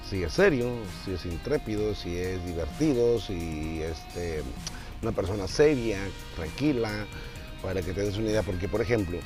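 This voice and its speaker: A man in his twenties hosting an informal tutorial, explaining step by step with repeated points and rhetorical questions. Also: on the low side. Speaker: video host teaching voice creation for dubbing.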